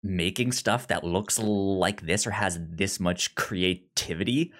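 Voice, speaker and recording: A man's voice: low-pitched, slow pace, fairly bright tone. A man co-hosting a casual movie review podcast, speaking enthusiastically and often repeating words as he thinks. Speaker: podcast co-host.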